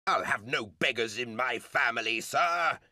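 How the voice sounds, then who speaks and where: A man's voice: mid-range voice, lively intonation, a little slow, sharp tone, no echo, studio quality, voice pushed up. A man reading an audiobook, voicing a proud, wealthy father who roars in fury. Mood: furious. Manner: roaring, domineering.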